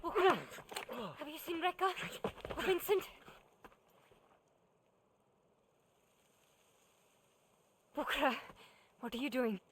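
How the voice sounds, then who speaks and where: An actress playing a determined, sardonic heroine in a video game, speaking in terse, dry replies. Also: female voice, sits high, animated, normal speed, background noise. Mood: alarmed. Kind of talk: video game cutscene acting.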